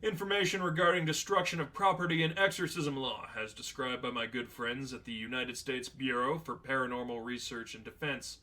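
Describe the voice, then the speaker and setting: A man's voice: mid-range voice, flat delivery, brisk, hardly any pauses, pushed and bright, close to an everyday voice, low-quality recording. A man playing a sardonic, unflappable veteran demon hunter in a story reading, matter-of-fact and flippant. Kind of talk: dramatized fan fiction reading.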